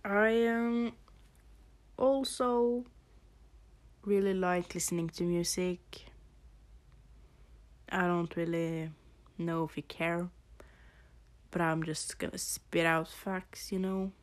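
A young woman from Norway with a Norwegian accent, hosting a casual podcast, rambling in a halting way with fillers and soft laughs. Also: on the low side, steady tone. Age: teens or young adult. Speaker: podcast host.

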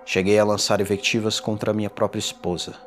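A man playing a tormented condemned man in a gothic horror audio drama, confessing in a formal, theatrical and deliberate delivery. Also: on the low side, little pitch movement, fairly bright tone, natural voice. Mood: wistful, grave. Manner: theatrical, deliberate, ornate diction. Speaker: narrator. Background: music.

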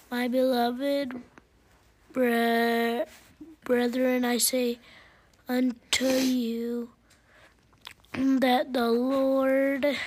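A woman reading scripture aloud haltingly, stumbling and restarting on words. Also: sits high, a little mellow.

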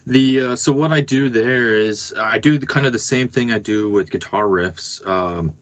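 A man speaking as a musician guest in a casual interview, thinking aloud in a halting way. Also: mid-range voice.

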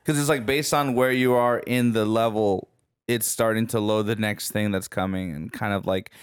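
A man's voice: on the low side, fairly even intonation, normal speed, clean tone. A man explaining things casually in conversation on a podcast. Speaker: podcast co-host.

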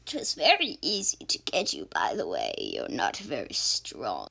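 A woman's voice: very deep voice, huge pitch swings, a little slow, vocal fry, pushed and bright, slightly rough, voice pushed down, heavily acted. A female performer voicing a sinister old kidnapper villain in a radio drama, whispery and mocking as they taunt their captives. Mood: gloating menace.

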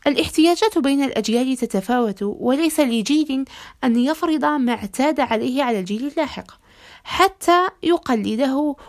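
A woman hosting a podcast, addressing listeners in formal Arabic with a measured, rhetorical delivery and a slightly hoarse voice. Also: high voice. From Algeria. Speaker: podcast host.

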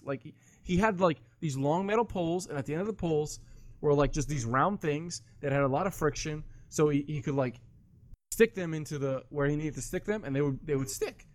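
A man's voice: fairly high pitch, no room sound. A man in his twenties arguing bluntly and profanely in casual banter with friends. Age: 20s.

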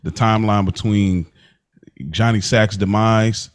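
A man with a fairly low voice hosts a casual TV recap podcast. His phrasing is colloquial and slangy, and the sound is dry with very little room in it. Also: fairly even intonation, a little slow, no room sound. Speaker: podcast host.

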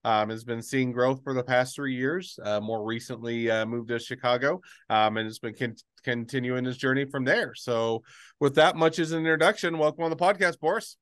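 A man's voice: medium pitch, normal speed, a bit bright, clear, resonant tone, dry recording. A man hosting a podcast interview, introducing his guest with many hesitations and fillers. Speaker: podcast host.

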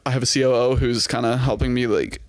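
A man's voice: medium pitch, steady tone, fast talker, no echo. A young man from Texas guests on a podcast, easygoing and self-mocking, chuckling at his own stories. The sound is close and dry with no room around it. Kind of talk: casual chat.

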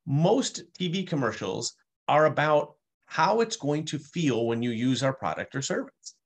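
A man's voice: a little high, expressive, a little slow, husky. Man explaining in an easy, fluent way. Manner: fluent, explanatory, with playful asides. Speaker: guest, customer experience speaker. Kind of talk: conversational interview answers.

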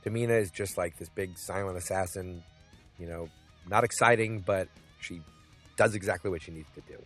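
A man's voice: low voice, moderate pace, soft background music. A man hosting his own podcast, rambling through picks with jokes, asides and lots of filler words. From New England. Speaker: podcast host. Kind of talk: casual solo wrestling podcast. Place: Boston.

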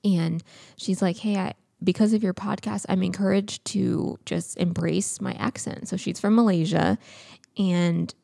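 Low gravelly woman podcast co-host. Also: measured pace, no room sound, clean studio sound. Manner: chatty, earnest, animated storytelling. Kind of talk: casual podcast chat.

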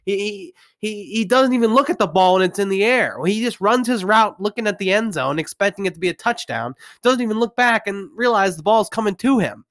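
A man's voice: sits high, animated, a little fast, few pauses, a bit bright, no room sound. A man with a stuffy nose from a cold, giving an opinionated, rambling football recap with many restarts. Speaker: sports commentator. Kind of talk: ranting sports recap.

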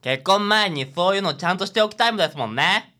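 A man's voice: sits high, animated, fast, sharp tone. A man playing a cheeky, eager fifth-grade boy who is an apprentice detective in a comic radio drama. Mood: confident.

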